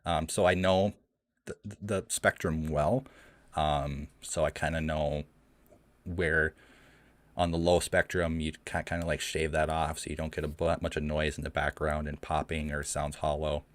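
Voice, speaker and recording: A young man with an extremely deep voice talks to his viewers in a rambling livestream Q&A. He says "um" often and restarts his sentences. The sound is dry and close, and his voice trembles slightly in a cold room. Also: level tone, unhurried, no echo. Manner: rambling, many ums and restarts. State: shaky voice from the cold. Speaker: streamer hosting a live Q&A. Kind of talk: casual livestream chat. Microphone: home streaming mic.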